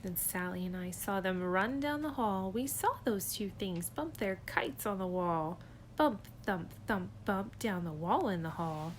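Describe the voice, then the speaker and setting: A preschool teacher reading a rhyming picture book aloud to children, clear, rhythmic and expressive. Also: female voice, mid-range voice, a little slow, a little hiss or hum.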